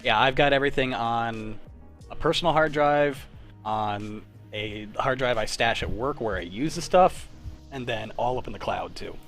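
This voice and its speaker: A man's voice: medium pitch, moderate pace, fairly bright tone, soft background music. A man around his thirties hosting a casual group chat in an informal, self-deprecating way. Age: 30s. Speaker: host. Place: online group call.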